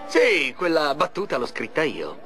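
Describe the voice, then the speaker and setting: A man's voice: on the high side, wildly swooping pitch, unhurried, music in the background, full character voice. A man voicing a boastful, resentful mad scientist sidekick in an Italian cartoon game dub, grandiose and erratic. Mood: agitated, sarcastic. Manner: grandiose, erratic, over-the-top.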